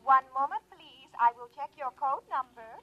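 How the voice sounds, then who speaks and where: A woman's voice: sits high, normal speed. A woman acting in a 1950s radio drama as a formal, officious switchboard operator, clipped, through a telephone filter. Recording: tinny, band-limited telephone sound.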